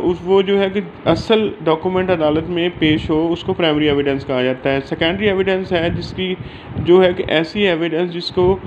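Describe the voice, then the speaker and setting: Higher-pitched Pakistani man giving a lecture. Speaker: law lecturer. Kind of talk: informal explanatory lecture from handwritten notes. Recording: dull lo-fi audio with faint background noise.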